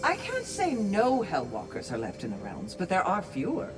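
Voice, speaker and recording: A woman's voice: fairly low pitch, wildly swooping pitch, moderate pace. A woman playing a steadfast, kindly Valkyrie warrior in a video game, speaking in a composed, articulate, formal way. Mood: measured, informative. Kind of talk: video game cinematic acting. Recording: game music behind the voice.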